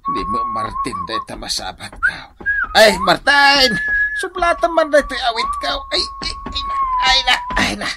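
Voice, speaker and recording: A man's voice: extremely high pitch, huge pitch swings, unhurried, heavy background noise, heavily acted. Man acting grumbling old father-in-law, wildly swooping voice. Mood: exasperated. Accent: Ilocano. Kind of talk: Ilocano radio drama acting.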